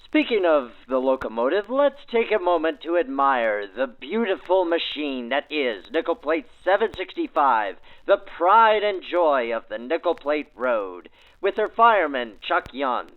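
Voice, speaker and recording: High-pitched man, tinny old-time radio announcer. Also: sing-song, measured pace. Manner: theatrical, grandly promotional. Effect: backdated 1940s radio filter. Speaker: host and narrator. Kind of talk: 1940s radio-play announcing and scripted narration. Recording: tinny band-limited 1940s radio sound.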